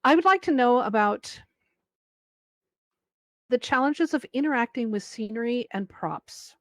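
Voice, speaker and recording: Female moderator, somewhat high voice, conversational delivery, close dry sound. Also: animated, unhurried, dry recording. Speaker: panel moderator. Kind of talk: casual panel discussion. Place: online VR voice chat.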